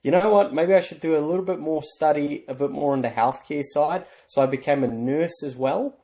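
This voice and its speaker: Mellow-voiced man. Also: on the high side. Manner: measured, storytelling, light self-deprecating humor. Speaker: interview guest. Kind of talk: conversational interview.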